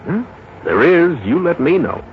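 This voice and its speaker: An American actor playing a gossipy, easygoing small-town detective sergeant in a folksy, rambling 1950s radio drama style. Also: male voice, fairly high pitch, sing-song, unhurried. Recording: muffled, noisy vintage radio recording.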